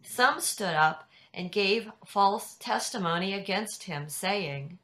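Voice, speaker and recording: Older woman narrating a bible story on a video call, slow n careful, really bright clear tone. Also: mid-range voice, animated. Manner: measured, deliberate reading. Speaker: narrator in a church dramatic reading. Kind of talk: scripted scripture narration. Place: indoors at home on a video call. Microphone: video call computer microphone.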